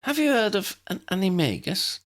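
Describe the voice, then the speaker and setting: Man voicing a high, tentative young woman. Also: big pitch swings, moderate pace, fairly bright tone. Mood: tentative, curious. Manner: tentative, respectful. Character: polite, inquisitive young woman, hesitant but brave.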